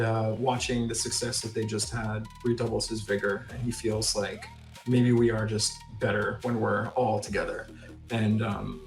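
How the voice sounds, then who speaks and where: A man playing a tabletop fantasy game, casually narrating what his character does. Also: on the low side. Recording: roomy sound with music behind.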